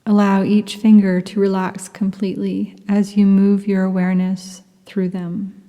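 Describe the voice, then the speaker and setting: Woman meditation guide, very slow and mellow, soft half-whispers, long reverb around the voice. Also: medium pitch, monotone. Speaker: yoga nidra teacher.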